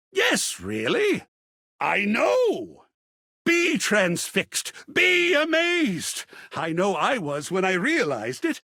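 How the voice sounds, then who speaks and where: A man's voice: high-pitched, a bit bright, slightly rough, no room sound, clean studio sound, full character voice. An actor playing a flamboyant, melodramatic male art lover in a video game, with a pompous, florid, theatrical delivery. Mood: lovestruck, rapturous. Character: flamboyant, melodramatic art-loving male dateable character, passionate and volatile.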